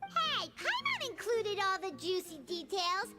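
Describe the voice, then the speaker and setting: Childlike fairy sidekick, squeaky, very high, excitable, game character, music underneath. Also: female voice, unhurried, full character voice. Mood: excited, boastful. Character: tiny excitable childlike female fairy companion, boastful storyteller. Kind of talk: animated video game voice acting.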